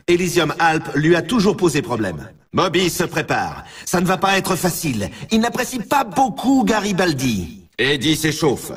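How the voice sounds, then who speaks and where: An excitable snowboard announcer from a video game, swooping widely through scripted race commentary. His voice has a rough, husky grain and sits slightly back from the microphone. He stretches words for showman-like drama. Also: fairly high pitch, distant mic, heavily acted.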